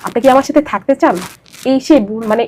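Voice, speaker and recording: Young bangladeshi woman telling her life story in an interview, kinda high voice, talks quick, repeats stuff for emphasis. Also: warm tone. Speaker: interview guest. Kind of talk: personal confessional storytelling.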